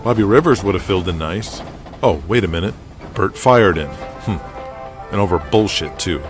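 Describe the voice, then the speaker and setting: A man's voice: low-pitched, lively intonation, normal speed, over music. A man voicing a frustrated, outspoken young wrestling crew member in casual audio drama dialogue. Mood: frustrated, questioning. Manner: griping, casual.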